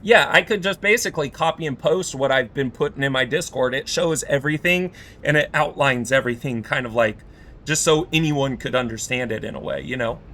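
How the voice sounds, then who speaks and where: A man streaming a game, talking casually, rambling with many fillers while he vents frustration, his voice going hoarse from talking all day. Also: medium pitch, a little fast, pushed and bright, a little hiss or hum.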